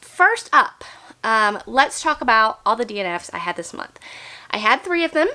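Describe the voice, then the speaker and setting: A young woman talks through her month of reading in a candid, chatty way. Her voice sits a bit high, and a cold leaves her slightly nasal. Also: normal speed. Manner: chatty, candid, animated opener. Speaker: book vlogger. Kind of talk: casual chat about books.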